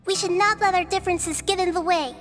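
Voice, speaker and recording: A woman playing a small, wise child goddess in a video game, speaking in a measured, formal and earnest way. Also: very high-pitched, fairly even intonation, a bit bright. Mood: calm, diplomatic. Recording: game music under the voice.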